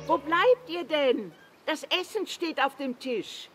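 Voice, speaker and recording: Female role: doubting old mother, high swooping voice, period horror radio play, echoey with music. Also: expressive, slow. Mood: uneasy. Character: uneasy, doubting elderly mother of the household. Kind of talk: period horror audio drama acting.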